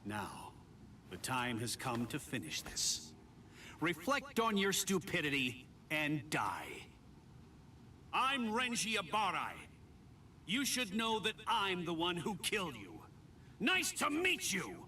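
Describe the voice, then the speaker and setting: A man's voice: sits high, huge pitch swings, slow pace, long pauses, bright, forceful tone, far from the mic, a little hiss or hum, full character voice. An actor voicing a cocky, hot-tempered young swordsman in an anime game, taunting with menace and theatrical delivery. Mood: menacing, taunting. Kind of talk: English-dubbed anime game voice acting.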